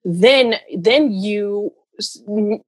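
A woman's voice: mid-range voice, drawn-out words, husky, no echo. A woman speaking casually as an interview guest, earnest and rambling, in a giggly conversational way. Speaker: interview guest, runner and storyteller.

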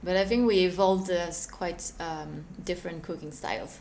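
Low, flat-voiced woman from Switzerland. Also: moderate pace, vocal fry, a little hiss or hum. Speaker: company co-founder. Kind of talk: casual interview answers.

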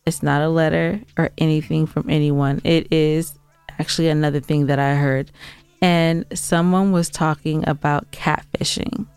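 A woman's voice: deep voice, steady tone, moderate pace, a little mellow, smooth, dry recording, soft background music. A woman co-hosting a podcast in casual, playful, teasing chat. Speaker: podcast co-host.

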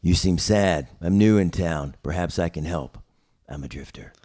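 A mysterious, world-weary noir drifter acted out by a man, with a low, mellow voice. He speaks in an earnest, deadpan way, brooding over a troubled past, and the sound is close and dry. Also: normal speed, dry recording. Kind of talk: noir web series table read.

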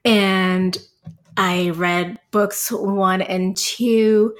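A woman's voice: medium pitch, steady tone, extremely slow. A woman in her thirties from Puerto Rico, a podcast guest chatting casually with frequent ums and laughs. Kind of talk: casual interview chat.

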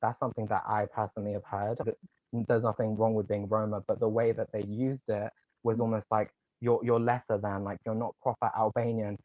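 A man's voice: fairly low pitch, level tone, no room sound. A young man, a guest on an interview, talking earnestly and casually with many fillers. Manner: earnest, talks in quick runs with many "like" fillers. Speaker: guest, online content creator. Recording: slightly dull, muffled sound.

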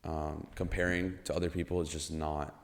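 This is a young man with an extremely deep voice who hosts a podcast. He muses reflectively and haltingly, restarting his phrases often. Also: little pitch movement. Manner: reflective, halting, many restarts and "you know". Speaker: podcast host. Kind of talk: casual chat.

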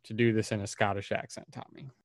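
A man's voice: on the low side, level tone, fast, no echo. Joking male podcast host, dry sound. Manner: joking, laughs often. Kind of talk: casual chat.

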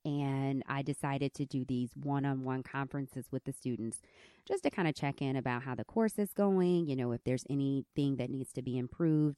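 A woman in her thirties with a squeaky country voice, telling a candid personal story as host of her podcast. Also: no room sound, clean studio sound. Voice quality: squeaky, high voice. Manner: candid, animated storytelling with laughs. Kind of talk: personal reflective podcast monologue.

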